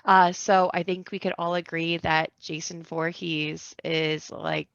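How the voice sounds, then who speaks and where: A woman with a bright, forceful voice chats as a podcast guest. She rambles informally, and the audio is dry and somewhat dull. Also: deep voice, level tone, a little slow, creaky, smooth, no room sound. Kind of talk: casual podcast chat.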